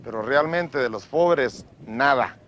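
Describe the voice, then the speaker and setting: A man giving interview commentary in a measured, hesitant, dismissive way. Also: medium pitch, lively intonation, fairly bright tone, a little hiss or hum.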